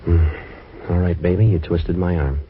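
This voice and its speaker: An actor playing a wisecracking, hard-boiled male detective in a 1940s radio drama, narrating and trading quips in a deadpan way. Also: sits low, normal speed, phone-quality sound, a little hiss or hum, natural voice.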